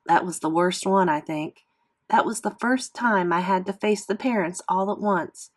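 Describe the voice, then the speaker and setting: A woman reads a children's novel aloud in expressive classroom read-aloud narration, like a teacher with a class. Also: lowish voice, moderate pace.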